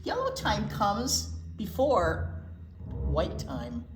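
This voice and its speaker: An American woman reads aloud as a children's storytime teacher, slowly and with a very lively range. She draws words out for effect and slips in chatty asides. The room is echoey, with clear background noise. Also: mid-range voice. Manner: expressive, drawn-out storytelling. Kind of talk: picture-book read-aloud with chatty asides.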